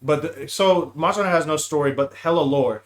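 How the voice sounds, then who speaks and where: A man's voice: on the high side, brisk. A man as a podcast guest chatting casually about games in loose, bro-heavy slang. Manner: slangy, calls the other speaker bro and dude. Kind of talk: casual gaming podcast chat.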